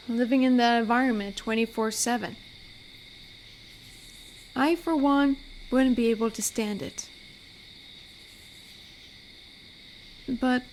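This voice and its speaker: A woman voicing an amiable, rambling werewolf who guards a forest, in an intimate audio roleplay with a chatty, gently awkward manner. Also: fairly high pitch, slight background noise, rough recording. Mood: curious, sympathetic. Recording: night cricket ambience behind voice. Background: crickets and forest night sounds.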